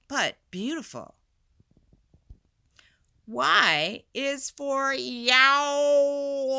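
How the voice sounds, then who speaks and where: A woman's voice: mid-range voice, vocal fry, sharp tone. A woman reading a picture book aloud to children, with dramatic emphasis and chatty asides to her listeners. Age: adult. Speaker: storyteller, teacher reading to children.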